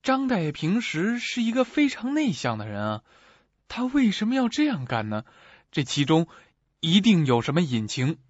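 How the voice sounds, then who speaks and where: Actor as animated, coaxing male manager. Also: fairly high pitch, moderate pace, no room sound. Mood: calming, probing. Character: steady, reassuring male theatre manager. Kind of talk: suspense radio drama acting. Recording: dull, dry audio.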